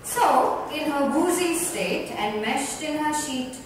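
A woman's voice: high voice, lively intonation, slow pace. A woman reading literary narration aloud in an indoor lounge. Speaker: reader in a dramatic book reading. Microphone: far microphone. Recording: distant mic, very echoey noisy room.

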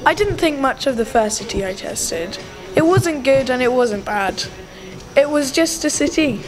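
A woman's voice: high voice, expressive, a little slow. A woman narrating her vlog in a cheerful, lightly mock-serious way. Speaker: vlogger filming and narrating. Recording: strong background noise.

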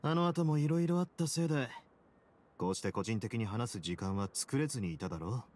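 A male actor playing a composed, confident prison boss in a Japanese game, speaking in a measured, dryly courteous way. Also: medium pitch, lively intonation, darkish tone, close to an everyday voice. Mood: composed, sincere. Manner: measured, cool, casually self-assured. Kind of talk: Japanese anime game voice acting. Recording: studio voice over game music.

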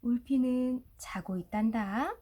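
A woman voicing a gentle, doting rabbit parent in a storybook, fond and soothing. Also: fairly high pitch, takes it slowly. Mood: fond, pleased. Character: doting, gentle rabbit parents cooing over their baby. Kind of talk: storybook character voice.

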